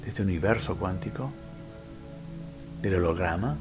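A man leading a guided meditation, giving instructions in short phrases with frequent pauses. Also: low voice. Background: background music.

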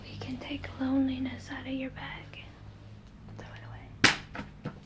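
A young woman doing an ASMR role play in a soothing, reassuring, breathy whisper, close to the microphone in a room. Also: high voice, completely flat delivery, measured pace. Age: young adult. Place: small indoor room.

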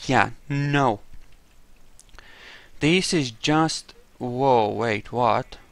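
Slow, smooth male gamer, close and dry. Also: mid-range voice, no room sound. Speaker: gamer doing a playthrough. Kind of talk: casual gameplay commentary.

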